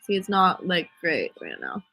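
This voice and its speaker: A woman's voice: lowish voice, huge pitch swings, normal speed, gravelly, pushed and bright, husky, faint music behind, rough recording. A young American woman with a stuffy cold, chatting casually with fans, rambling through stories full of "like" and "um". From United States. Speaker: singer answering fan comments. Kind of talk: casual chat on a livestream.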